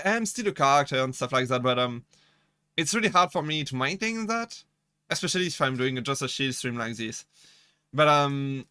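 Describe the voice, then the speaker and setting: Bright-voiced French man chatting on stream. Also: a little high, clean tone, no echo, clean studio sound. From France. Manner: laughs often, restarts sentences, playful joking with chat. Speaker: VTuber streamer. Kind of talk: casual live-stream chat.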